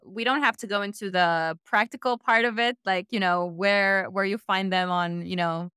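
A woman's voice: medium pitch, fairly even intonation, moderate pace, sharp tone, clean tone, dry recording, clean studio sound. A woman hosting a casual conversational interview, affirming and building on what her guest says. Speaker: podcast host, interviewer.

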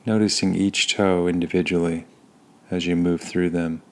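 Male meditation guide: low, even, unvarying delivery, calm and soothing, with unhurried pauses between phrases. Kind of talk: guided body scan meditation.

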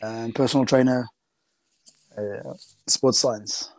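Give me a young man from South Africa, speaking hesitant French in a casual interview, with a mellow, dark tone. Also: mid-range voice, steady tone, slow, dry recording, rough recording. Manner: hesitant, many fillers and restarts in second-language French. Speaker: interviewee, rugby player.

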